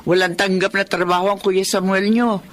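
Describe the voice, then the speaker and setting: A woman's voice: medium pitch, fairly even intonation, moderate pace, lightly acted. An actress playing a fond, homely middle-aged mother in a Tagalog radio drama, chatty in manner. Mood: fond, content.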